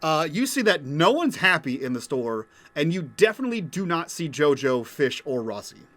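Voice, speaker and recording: A man's voice: a little high, very animated, moderate pace, fairly bright tone. A man narrating as game master of a tabletop game, casual with many fillers.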